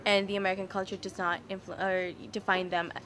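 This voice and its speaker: A woman from Mexico speaks in an easygoing, rambling way, with her pitch in an ordinary middle range. There is clear background noise around her as she talks. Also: level tone, quick pace. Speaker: interviewee. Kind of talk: casual interview answers.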